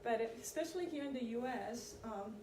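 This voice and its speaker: A middle-aged woman, speaking a little higher than most women, walks an audience through history in a loose, conversational way and keeps checking in with them. She is picked up from across an echoey meeting room. Steady background noise sits under her voice. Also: moderate pace, far from the mic. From Chile. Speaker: community presenter on immigration history. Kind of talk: informal lecture to an audience.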